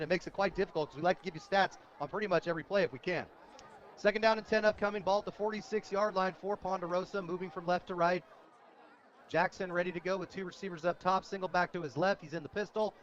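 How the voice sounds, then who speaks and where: An American man calling high school football play-by-play on the radio, excitable when a big play comes. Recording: dull, lo-fi outdoor radio broadcast.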